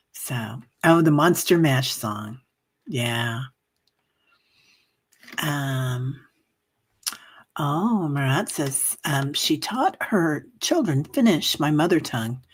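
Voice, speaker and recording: A woman with a strikingly low, husky voice hosts a casual livestream. She rambles warmly through viewer comments with plenty of fillers. A cough lingers, leaving her a little hoarse. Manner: chatty, rambling, many fillers. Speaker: livestream meditation host. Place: home livestream.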